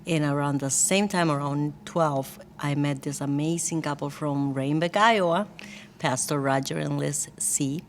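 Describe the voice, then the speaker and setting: Low-voiced Costa Rican woman telling her story. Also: unhurried, a little hiss or hum. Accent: Costa Rican Spanish. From Costa Rica. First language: Spanish. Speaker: guest, church worship team leader. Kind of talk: personal storytelling in a conversational interview.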